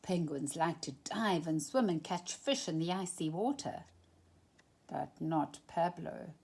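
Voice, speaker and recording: Animated woman reading a children's story, unhurried. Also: low-pitched, vocal fry, slightly rough. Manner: animated, dramatic emphasis with drawn-out phrases and hushed moments. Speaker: storytime reader. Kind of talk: expressive children's picture-book read-aloud.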